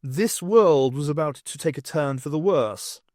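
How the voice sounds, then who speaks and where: A man reading an audiobook aloud with an expressive, wry storytelling manner. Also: fairly high pitch, measured pace, no echo, studio quality. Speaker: audiobook reader. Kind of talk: audiobook narration.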